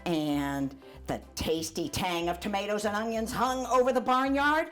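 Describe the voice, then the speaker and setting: An older woman reads a children's storybook aloud in an animated, chatty way, adding asides for young listeners. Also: medium pitch, unhurried, soft background music. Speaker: volunteer storyteller.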